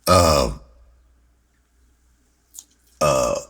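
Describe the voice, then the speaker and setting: A man's voice: a bass voice, very slow, fairly bright tone. A middle-aged American man telling a personal story casually and candidly, swearing freely and repeating words for emphasis. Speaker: rapper and writer telling their story.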